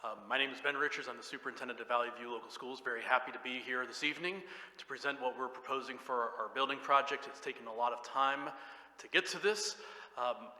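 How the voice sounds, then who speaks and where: Loud bright-voiced man presenting. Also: medium pitch, fast. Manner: loud, carrying voice. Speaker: school superintendent. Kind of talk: presenting at public hearing. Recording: echoey hall with long reverb.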